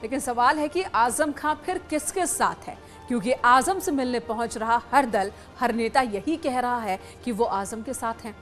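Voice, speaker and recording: A woman's voice: on the high side, normal speed, hardly any pauses, a bit bright, soft background music. A woman anchoring a news bulletin with scripted presentation.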